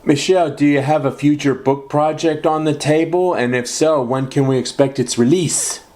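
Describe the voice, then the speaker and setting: A man hosting a podcast interview, asking set questions in a measured way with short acknowledgments. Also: on the high side, fairly even intonation, darkish tone. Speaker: podcast host.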